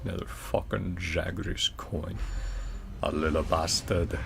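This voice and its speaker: A man's voice: sits low, fairly even intonation, unhurried, creaky, noisy background. A man giving casual, off-the-cuff gameplay commentary, often muttering half to himself as he plays. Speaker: gaming video creator.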